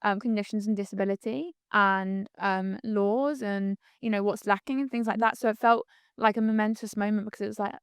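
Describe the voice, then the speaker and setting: A woman's voice: mid-range voice, steady tone, a little fast, smooth, dry recording, studio quality. Chatty young woman. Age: 20s. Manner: chatty, reflective, many "like"s and "you know"s. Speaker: podcast guest. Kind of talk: casual conversational interview.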